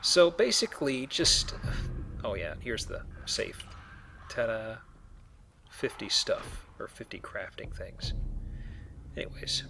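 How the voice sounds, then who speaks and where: A man's voice: fairly low pitch, sing-song, slow pace, vocal fry, pauses often, background noise. A man giving casual, rambling, explanatory commentary while playing a video game. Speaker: let's play host, playing the game.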